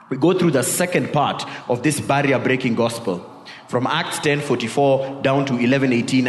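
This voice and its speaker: The sound is echoey, with the long reverb of a large hall. A man with a Kenyan accent preaches at a medium pitch. His sermon is conversational and informal, built like personal storytelling. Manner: informal, peppered with tag questions and Swahili asides.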